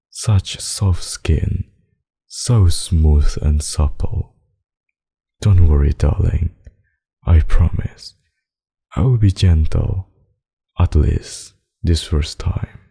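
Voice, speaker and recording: A man playing a centuries-old, possessive and sadistic vampire in an intimate ASMR roleplay, speaking in a husky, silky, dominant and condescending way. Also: very deep voice, dark tone, exaggerated voice. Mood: predatory, hungry.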